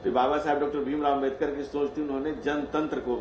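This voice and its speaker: A man's voice: on the high side, flat delivery, fast, a crowd in the background. A man speaking as a party spokesman in a TV debate, delivering repetitive, slogan-laden political rhetoric in an echoey room. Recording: echoey room, noisy feed.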